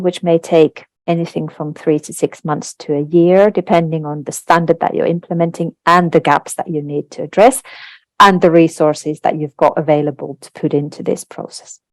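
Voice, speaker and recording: A woman with a low voice whose first language is Finnish walks listeners through a process in a webinar. She explains patiently, with the odd filler and tag question. The sound is dry and close, with no room in it. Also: steady tone, moderate pace, a little mellow, no echo. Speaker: webinar presenter on translation standards. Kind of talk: webinar presentation.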